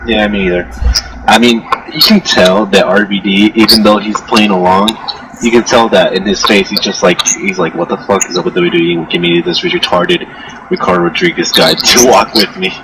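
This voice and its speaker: A man's voice: sits low, moderate pace, noisy background. A man hosting a casual wrestling chat, joking and crude as he hands out questions. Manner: joking, crude, leads the talk. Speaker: host.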